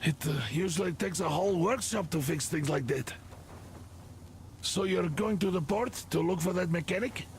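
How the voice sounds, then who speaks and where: A man's voice: high voice, normal speed, a little hiss or hum, natural voice. An actor playing a worried, overwhelmed male mechanic who talks in a hesitant, fretful way in a video game.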